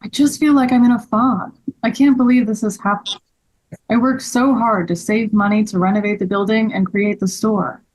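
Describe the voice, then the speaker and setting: A woman's voice: medium pitch, steady tone, normal speed, darkish tone, natural voice, poor audio. Woman as a distraught, worn-down store owner. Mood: distraught, bitter. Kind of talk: table read of a scripted story.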